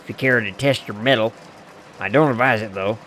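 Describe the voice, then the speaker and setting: A man voicing a friendly, teasing hobo drifter with a folksy, unhurried way of talking, reading a game character's lines aloud. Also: fairly low pitch, fairly bright tone, a little hiss or hum, close to an everyday voice.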